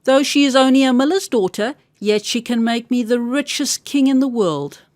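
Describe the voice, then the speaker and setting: A woman's voice: on the high side, animated, moderate pace, a little mellow, dry recording, lightly acted. Woman reading as a greedy, commanding storybook king. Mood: scheming. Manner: commanding, calculating. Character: greedy, threatening king in a fairy tale.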